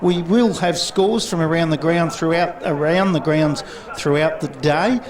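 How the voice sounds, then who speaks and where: A man with an Australian accent leading sports commentary, brisk and fluent as he reels off names, fixtures and scores. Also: a little high. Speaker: lead commentator. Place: outdoor football ground. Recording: outdoor commentary with clear crowd.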